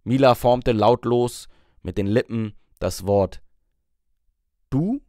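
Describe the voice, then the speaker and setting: A man's voice: medium pitch, fairly even intonation, takes it slowly, dark tone, no room sound, studio quality. A man reading a story aloud as an audiobook narrator in scripted storytelling style.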